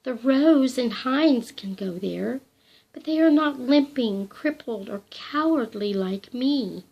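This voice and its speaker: A woman's voice: high-pitched, lively intonation, takes it slowly, gravelly, highs cut off, voice pushed up, exaggerated voice. A frightened young woman begs and trembles in a raised, breathless voice, now and then falling to a whisper. She sounds timid and close to tears, as a woman reading the story acts her out. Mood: fearful.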